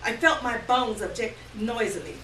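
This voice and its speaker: A woman giving an expressive, measured dramatic reading of a first-person story before a live room. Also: fairly high pitch. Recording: distant roomy live recording, faint noise.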